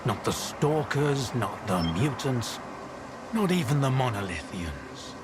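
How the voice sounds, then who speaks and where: An actor playing a calm, principled wasteland doctor in a video game, speaking in a measured, solemn way. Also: male voice, mid-range voice, background noise. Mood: grave. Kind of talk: dramatic video game dialogue.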